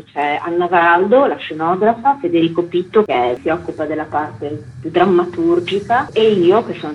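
Lowish woman, slightly dull recording. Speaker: theatre director being interviewed. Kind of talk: interview talk. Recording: dull sound, faint background noise.

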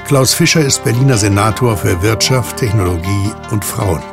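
Male narrator, low creaky voice, scripted storytelling, music underneath. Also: normal speed. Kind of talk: scripted audio drama narration. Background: clear background music.

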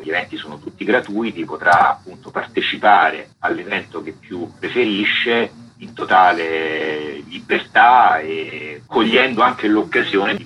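Deep-voiced man being interviewed. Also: pushed and bright, slight background noise, low-quality recording. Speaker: festival artistic director, interview guest. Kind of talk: radio interview, explanatory.

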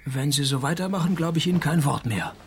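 An actor playing a wry private detective who narrates and talks in a dry, sarcastic deadpan in a radio play. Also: male voice, fairly high pitch, fast talker, slightly rough. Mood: mocking deadpan. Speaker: narrator and lead character.

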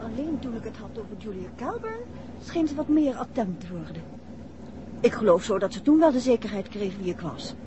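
An actress playing a detective's curious, worried wife in a 1960s radio drama. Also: female voice, fairly high pitch, big pitch swings, brisk. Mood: pensive, worried. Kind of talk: 1960s radio play acting. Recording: dull, noisy old radio recording.

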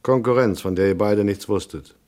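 A tough, hard-boiled detective played by a male actor from a noir radio play. His voice sits on the low side. He narrates and talks in a terse, unimpressed, matter-of-fact way. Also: normal speed, darkish tone, lightly acted. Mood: terse, dry. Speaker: narrator and lead character.

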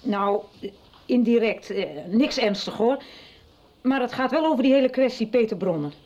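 A woman's voice: lively intonation, moderate pace, natural voice. An actress playing a sober woman who asks pointed, probing questions and brings shocking news in a radio soap. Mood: urgent. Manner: pointed, probing questions, often quiet and low. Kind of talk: 1990s radio soap acting. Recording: dull lo-fi radio recording.

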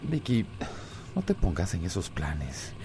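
A domineering Costa Rican husband in a radio drama, gruff and scolding. His low voice swings widely in pitch, over clearly noisy ambience. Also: huge pitch swings, measured pace, mellow, full character voice. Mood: irritated. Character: domineering, irritable husband who belittles his wife. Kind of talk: radio soap opera acting.

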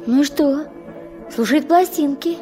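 A woman playing a lively, pleading, stubborn child in a radio play, expressive and childlike. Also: high voice, unhurried, big-room sound, soft background music. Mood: teasing, indignant. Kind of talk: radio play narration and acting.

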